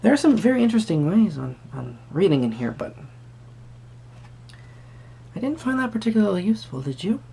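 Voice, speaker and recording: An eccentric, courtly male tarot reader, acted by a man in a soft roleplay. His voice is mellow and husky with a gravelly creak, and he muses theatrically and politely, drifting into murmured asides. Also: high-pitched, big pitch swings, fairly quick, pauses often, full character voice. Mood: pensive, mildly fussy. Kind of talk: soft-spoken tarot reading roleplay to the viewer.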